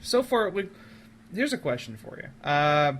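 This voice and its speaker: A man's voice: a little high, sing-song, measured pace, a bit bright, a little hiss or hum. A man hosting a casual podcast, talking in an effusive, wordy way as he interviews his guests.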